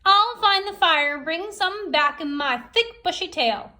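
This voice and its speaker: A bold possum hunter from a children's storybook, put on by a woman reading aloud. The voice is raised high, with a boastful, eager manner. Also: expressive, measured pace, pushed and bright, heavily acted.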